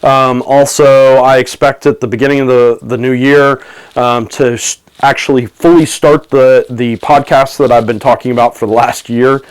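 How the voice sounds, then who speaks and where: Man with a cold, halting video talk. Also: medium pitch, steady tone, smooth. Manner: halting, many ums and restarts. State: has a cold, slight gravel. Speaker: tech commentator. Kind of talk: casual video talk to camera. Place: office under renovation.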